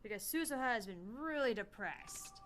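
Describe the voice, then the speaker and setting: A woman's voice: a little high, animated, normal speed, a bit bright, a little hiss or hum. A young woman co-hosting a gaming livestream in casual, playful chat, swearing now and then. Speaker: livestream co-host.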